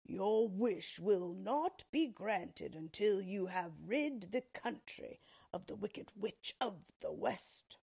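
A woman doing a stern, slow-spoken wizard. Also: medium pitch, animated, creaky, darkish tone, slightly rough, full character voice. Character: stern male wizard, grand and unbending.

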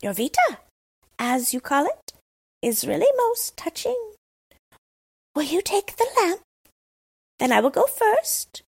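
A woman's voice: very high-pitched, big pitch swings, slow, gravelly, pauses often, pitched above the performer's own voice, exaggerated voice. A woman voicing a gracious, formal tame female crow in a storybook. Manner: formal, polite.

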